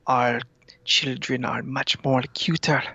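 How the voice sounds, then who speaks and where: A haughty talking cat holds forth about feline superiority in a grave, gravelly, flat tone, as a man voices him. He speaks slowly and formally, and the recording sounds dull and lo-fi. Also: mid-range voice, dry recording, heavily acted. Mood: solemn. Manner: slow formal delivery, speaks of cats as superior.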